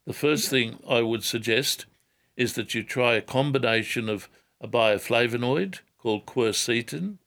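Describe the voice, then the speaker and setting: An older man answering callers on a radio health show, measured and careful, often repeating words and hedging before giving advice. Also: mid-range voice, vocal fry, fairly bright tone.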